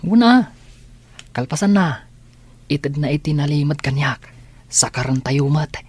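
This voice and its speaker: A woman's voice: sits low, lively intonation, measured pace, a little mellow, husky, exaggerated voice. A woman playing a sly, playful scheming student in a radio drama.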